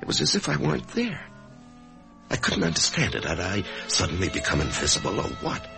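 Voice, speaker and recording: Radio drama lead: troubled office worker, low voice, wildly swinging intonation, halting and emotional. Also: male voice, wildly swooping pitch, creaky, over music, full character voice. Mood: bewildered. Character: anxious middle-class office man haunted by death. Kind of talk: old-time radio drama acting, first-person narration and dialogue.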